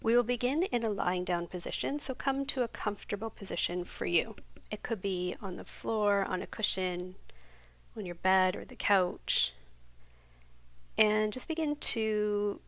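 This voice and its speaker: A woman guiding a yoga nidra meditation in a slow, soft, soothing voice that sometimes drops to a near whisper. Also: medium pitch, no echo. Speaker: meditation guide.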